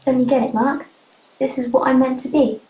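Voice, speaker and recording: Defiant teen runaway girl, young actress, stage drama, earnest, slightly high voice, roomy sound. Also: steady tone, brisk, dark tone, close to an everyday voice. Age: young adult. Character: defiant, hurt teenage runaway girl living wild. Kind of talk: youth theatre stage drama acting. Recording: muffled, roomy amateur recording.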